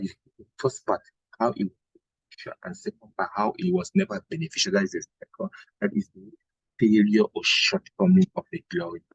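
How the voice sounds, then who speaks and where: A Cameroonian man teaches an exam class in a rough, very husky voice. His pitch moves in a lively way as he explains points didactically and repeats key phrases. The sound is close and dry. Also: no echo, low-quality recording. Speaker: history teacher. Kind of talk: exam revision lesson.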